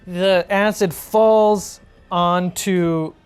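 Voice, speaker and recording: Low-pitched woman game master. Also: fairly even intonation, drawn-out words, smooth, faint music behind. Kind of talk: narrating a tabletop role-playing game.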